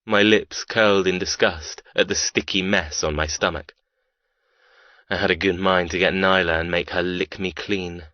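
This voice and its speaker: Man voicing a deep, brooding, arrogant criminal heir. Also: fairly even intonation, moderate pace, sharp tone, no room sound, lo-fi sound. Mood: brooding, contemptuous. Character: cold, arrogant, menacing male diamond smuggler and biker heir. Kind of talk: first-person audiobook narration of dark romance.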